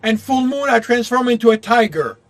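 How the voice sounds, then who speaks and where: A man chatting casually while playing a game, explaining his thoughts in a halting, rambling way. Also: very high-pitched, animated. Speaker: game streamer. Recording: game music faintly behind the voice. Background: faint video game music.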